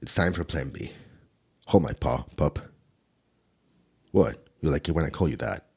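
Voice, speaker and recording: A stern demon enforcer, played by a man, with an extremely deep voice. It is gravelly and husky. He scolds in low, measured tones and stays protective underneath. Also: expressive, darkish tone, exaggerated voice. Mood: gentle. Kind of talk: ASMR audio roleplay.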